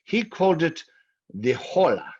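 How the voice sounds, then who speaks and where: Grave occult scholar for vintage radio horror: husky, fretful, deliberate, on a dull lo-fi recording. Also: male voice, fairly high pitch, big pitch swings, darkish tone, full character voice. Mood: solemn. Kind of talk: old-time radio drama acting. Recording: muffled old radio recording.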